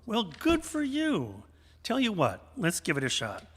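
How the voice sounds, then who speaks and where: Higher-voiced male host in a reverberant hall. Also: huge pitch swings, unhurried, breathy edge. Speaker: radio theater host. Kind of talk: live stage hosting, storytelling. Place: large church sanctuary, indoors. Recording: reverberant church sanctuary.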